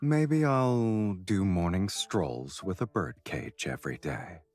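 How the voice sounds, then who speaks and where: A man playing a composed, dryly teasing, quietly indulgent man in a game story. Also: deep voice, expressive, slow. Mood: calm, indulgent. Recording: music behind.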